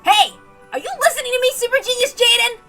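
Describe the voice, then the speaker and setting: Hot-headed young boy fighter played by a woman: high, piercing, indignant and bossy, for a dramatic story reading. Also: expressive, fast talker, pushed and bright, soft background music, exaggerated voice. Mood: scolding, lecturing. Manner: emphatic, scolding, indignant. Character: short, hot-headed, boastful young male fighter, lecturing rival.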